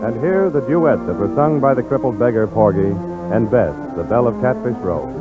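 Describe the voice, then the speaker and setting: A man's voice: medium pitch, expressive, a little fast, over loud music. 1940s radio announcer, tinny old broadcast, man.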